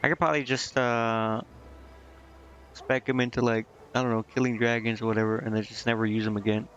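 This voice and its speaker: A man's voice: medium pitch, little pitch movement, brisk, fairly bright tone, muffled recording. A man doing casual video game commentary as a streamer, thinking aloud in a rambling, hesitant way. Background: game music.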